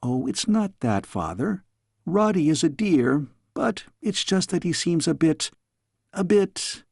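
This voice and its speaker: Guy doing a chatty headstrong princess girl for a storybook, voice pushed up high, emphatic, bit husky. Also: very animated, slow, a little mellow, no room sound, studio quality, full character voice. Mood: breezy, chatty. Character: young princess, earnest, chatty, headstrong daughter.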